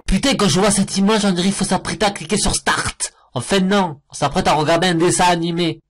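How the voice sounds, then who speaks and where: A man's voice: high voice, steady tone, fast talker, faint music behind. A man giving jokey, sarcastic commentary on cartoon clips. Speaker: cartoon reviewer. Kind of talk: mocking commentary over clips.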